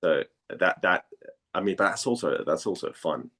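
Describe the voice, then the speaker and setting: A man from Denmark chatting casually as an interview guest, speaking haltingly and repeating words as he thinks. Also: deep voice, a bit bright.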